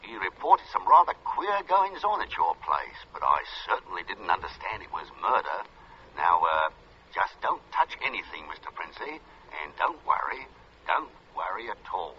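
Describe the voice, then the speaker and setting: An actor playing a steady, folksy police sergeant with a husky voice in an old radio drama, matter-of-fact. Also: male voice, deep voice, normal speed, slight background noise. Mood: steady, reassuring. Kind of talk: golden age radio drama acting. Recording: dull old radio recording.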